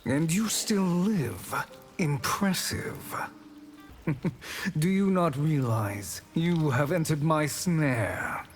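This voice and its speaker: An actor playing a scheming, arrogant sorcerer villain in a video game, smug and formal with ornate wording. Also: male voice, a little high, lively intonation, extremely slow, faint music behind. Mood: smug, threatening.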